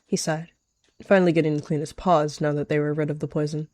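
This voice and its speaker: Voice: medium pitch, flat delivery, quick pace, darkish tone, no echo. A person narrating a scripted animal roleplay story as the storyteller. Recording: music behind the voice. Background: music.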